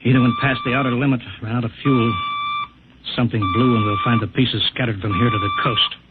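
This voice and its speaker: A man's voice: medium pitch, fairly even intonation, quick pace, natural voice. A man acts out an aging, careworn flight boss, anxious and weary. His voice comes through a thin, tinny old radio recording, in the manner of a classic radio play. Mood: weary, guilty. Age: middle-aged. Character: anxious, weary aging test-flight chief, former pilot. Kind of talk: vintage radio drama acting.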